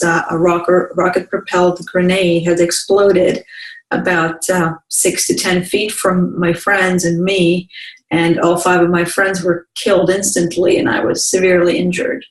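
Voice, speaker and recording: A woman speaks in a measured, unhurried way and often restarts her sentences. Her voice sits on the low side. The sound is roomy and set back from the microphone. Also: recorded from a distance. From Bosnia. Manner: calm, measured storytelling with frequent restarts. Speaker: guest, motivational speaker. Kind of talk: personal storytelling in an interview.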